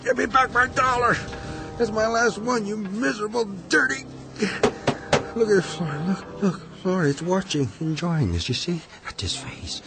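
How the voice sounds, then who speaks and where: Feverish gambler husband in a radio play, ranting and muttering, really swoopy gravelly voice. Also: male voice, high-pitched, expressive, normal speed, over loud music. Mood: frantic, accusing. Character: obsessed, raving husband gambler fixated on a slot machine. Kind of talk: radio drama acting.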